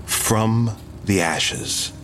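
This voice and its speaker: A man's voice: on the low side, extremely slow, a bit bright, soft background music. An actor playing an intense, hot-tempered male street artist in an audio drama, speaking in terse, sweary bursts. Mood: quiet, pleased.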